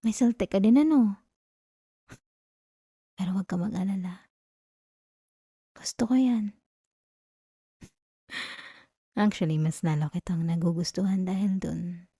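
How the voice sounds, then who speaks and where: A woman playing a teasing, possessive young yandere girlfriend in an intimate ASMR roleplay, soft and breathy with a Filipino accent, coaxing and often slipping into whispers. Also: medium pitch, dry recording, clean studio sound. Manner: slow, coaxing, giggly teasing. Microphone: close, dry ASMR microphone.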